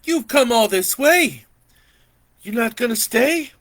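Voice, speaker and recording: A man's voice: very high-pitched, animated, slow, a bit bright, pitched above the performer's own voice, heavily acted. A man voicing an emotional middle-aged aunt who pleads with her nephew, in a spoken story. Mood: eager pleading.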